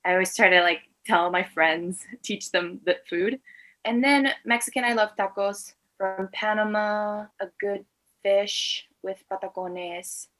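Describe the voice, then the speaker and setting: A woman's voice: medium pitch, measured pace, a bit bright, rough recording. A woman in her twenties from Venezuela, a native Spanish speaker, answering interview questions conversationally. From Venezuela and Latin America. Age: 20s. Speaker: interview guest, actor and filmmaker.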